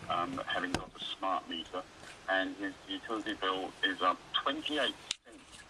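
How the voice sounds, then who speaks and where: Low-voiced man on a noisy radio. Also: measured pace. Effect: heard through a radio. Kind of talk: conversational radio talk. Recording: distant, noisy portable radio playback.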